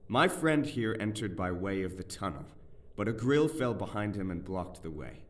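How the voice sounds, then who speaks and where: A bold, eloquent young nobleman, acted by a man in a fantasy radio play. His voice is fairly low and a little off the mic, formal and declamatory, with proud indignation. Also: expressive, normal speed, distant mic, natural voice. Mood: commanding. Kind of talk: audio drama acting.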